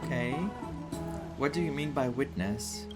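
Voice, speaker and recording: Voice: medium pitch, measured pace. A game streamer from Greece giving casual commentary and reacting aloud while playing. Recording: game music behind the voice. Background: game music.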